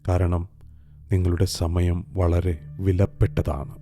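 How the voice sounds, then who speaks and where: A man narrating a scripted guided meditation in a calm, soothing, unhurried way with long pauses. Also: deep voice. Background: soft meditation music with singing bowls.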